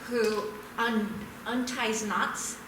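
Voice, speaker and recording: A woman's voice: on the high side, steady tone, very slow, background noise. Echoey woman talking from the audience. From United States. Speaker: audience volunteer. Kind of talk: casual chat on stage. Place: small theater, live audience. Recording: echoey theater, distant mic.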